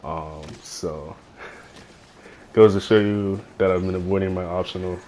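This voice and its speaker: Raspy deep-voiced young man with a cold. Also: fairly even intonation, measured pace, darkish tone, a little hiss or hum. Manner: rambling, lots of fillers and swearing. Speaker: gaming YouTuber. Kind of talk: casual gameplay commentary. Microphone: phone headphone mic.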